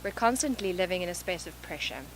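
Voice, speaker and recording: A woman with a South African accent touched by English, a life coach speaking earnestly and softly in an interview, often repeating phrases. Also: fairly low pitch, expressive, fast, noisy background. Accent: South African with some English. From born in Zimbabwe, raised in South Africa. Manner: earnest, soft-spoken, repeats phrases for emphasis. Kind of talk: reflective interview talk. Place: large event venue.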